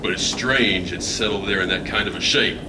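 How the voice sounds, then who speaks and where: A man playing a gruff, excitable adventurer sidekick in an old-time radio play, with blunt, folksy exclamations. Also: sits low, moderate pace, natural voice. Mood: alarmed. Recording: noisy live stage, distant microphone.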